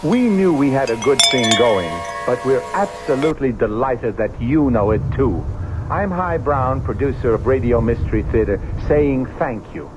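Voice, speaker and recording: A man's voice: mid-range voice, lively intonation, moderate pace. A man, a radio producer, giving a scripted announcement to listeners. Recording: dull old radio recording, music behind.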